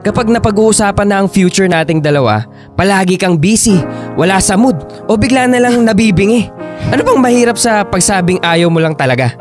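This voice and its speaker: A man's voice: high-pitched, expressive, brisk, over music. A man acting an earnest, insecure young boyfriend in a Filipino radio drama, pressing for commitment. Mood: hurt, accusing.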